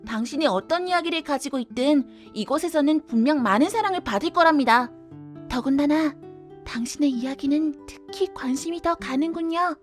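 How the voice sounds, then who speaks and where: A woman's voice: sits high, moderate pace, soft background music, natural voice. A woman playing a welcoming, eager youthful head librarian of a magical library, speaking politely and sweetly in an audio drama. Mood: gentle, knowing. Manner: polite, sweet, theatrical formal speech.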